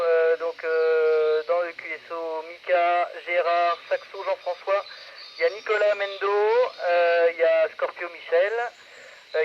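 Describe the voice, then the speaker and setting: Man, radio net host, high pitch, hesitant phrasing, casual, faint background noise. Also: dull audio. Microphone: radio microphone.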